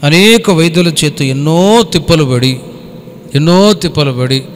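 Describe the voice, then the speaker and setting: A man's voice: a little high, a little slow, a little hiss or hum. A man preaching a Bible study as a pastor, exhorting in colloquial speech with rhetorical questions and some English words mixed in. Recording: echoey hall with long reverb.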